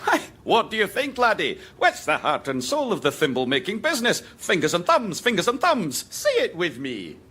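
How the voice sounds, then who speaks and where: Jovial, swooping Scottish secretary. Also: male voice, high-pitched, huge pitch swings, normal speed, few pauses, bright, forceful tone, husky, full character voice. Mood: jovial, sly. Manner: theatrical, cheerful, insistent. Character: jovial, dandyish young Scottish secretary, slyly sinister. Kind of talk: radio sketch comedy acting.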